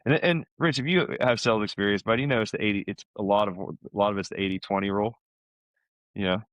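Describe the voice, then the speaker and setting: A man's voice: lowish voice, quick pace, dry recording. A man speaking as a podcast guest in a casual, chatty, informal conversational style, often dropping in "man" and "you know".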